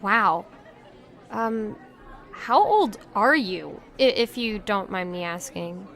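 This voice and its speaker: A woman's voice: medium pitch, animated, takes it slowly, a bit bright, a faint crowd. An actress playing a naive, polite young woman in an audio drama, hesitant and eager to ask questions. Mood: amazed, curious. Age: young adult.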